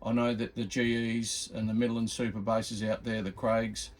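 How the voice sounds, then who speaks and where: A man's voice: lowish voice, little pitch movement, normal speed. A man giving a casual, hobbyist demonstration commentary, presenting in an informal way. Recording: loud radio static and noise. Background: CB radio static and chatter.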